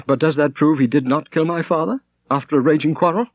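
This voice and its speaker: A man voicing an earnest, quick-witted, grieving young woman in an audiobook. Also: fairly high pitch, dry recording, muffled recording, voice pushed up. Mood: sad, anxious. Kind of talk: audiobook character reading.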